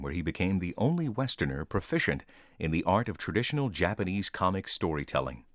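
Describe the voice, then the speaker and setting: A man hosting a radio interview, reading an introduction and asking measured questions. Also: low-pitched, no echo, clean studio sound. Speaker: radio host.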